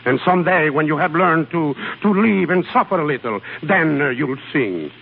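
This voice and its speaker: An actor playing an exacting, sardonic European singing teacher in theatrical 1930s radio style, dry and critical. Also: male voice, on the high side, animated, moderate pace, creaky. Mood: scornful, exacting. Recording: tinny, echoing, noisy 1930s radio recording.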